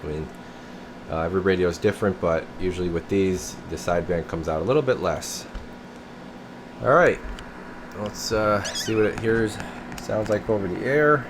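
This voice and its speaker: A man's voice: sits low, normal speed, long pauses. A man giving a casual hands-on radio repair demo, thinking aloud informally as he works. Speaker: radio technician demonstrating a tuned-up CB radio. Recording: clear steady background noise, air conditioner hum.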